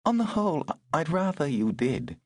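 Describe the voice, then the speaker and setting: A male narrator voicing a brisk, wry aristocratic older woman who talks in a clipped, commanding way. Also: fairly high pitch, sing-song, no room sound, studio quality, pitched above the performer's own voice.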